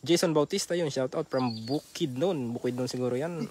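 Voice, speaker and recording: A man with a Filipino accent chatting casually as he reads out shout-outs and thanks livestream viewers. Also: mid-range voice, normal speed. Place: outdoors on a grassy waterside bank. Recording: clearly noisy outdoor phone recording.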